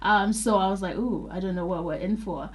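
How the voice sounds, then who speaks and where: A woman's voice: medium pitch, fairly quick. Friendly young woman from Zimbabwe. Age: young adult. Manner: warm, friendly, thoughtful storytelling with fillers. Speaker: guest. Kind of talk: interview answers.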